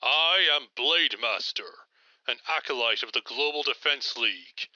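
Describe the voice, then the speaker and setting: A man's voice: mid-range voice, animated, a little slow, pushed and bright, no room sound, dull audio. A man playing an earnest, chivalrous armored superhero swordsman in an audio roleplay, speaking in formal, archaic, self-assured phrases. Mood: commanding, proclaiming. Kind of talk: scripted audio roleplay acting.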